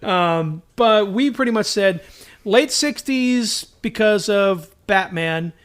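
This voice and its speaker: A man's voice: sits high, lively intonation, slow pace, fairly bright tone, clean tone. A man co-hosting a casual podcast chat, talking in an enthusiastic, slangy, self-deprecating way. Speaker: podcast co-host. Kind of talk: casual chat about superhero shows.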